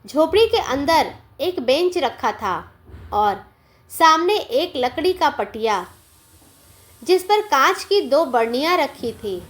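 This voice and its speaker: A woman narrates a scripted moral tale. Her voice is very high and animated in pitch. She reads expressively and takes her time over each phrase. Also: slow. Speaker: storyteller.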